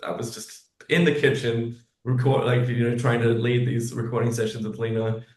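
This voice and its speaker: A man chatting casually as an interview guest, halting and often restarting his thoughts mid-sentence. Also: mid-range voice, flat delivery. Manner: halting, self-interrupting, rambling. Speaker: guest, musical theatre writer. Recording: echoey room.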